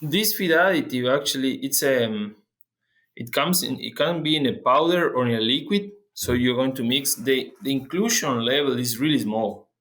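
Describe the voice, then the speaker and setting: Halting man from Argentina, guest researcher. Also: medium pitch, a bit bright. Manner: halting, frequent restarts and fillers. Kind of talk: interview.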